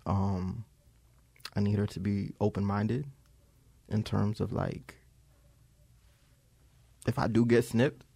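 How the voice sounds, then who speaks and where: Gravelly, lively young man, dry sound. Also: on the low side, slow, long pauses, no echo. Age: 20s. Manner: joking, blunt and profane. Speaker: podcast guest. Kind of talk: casual podcast chat.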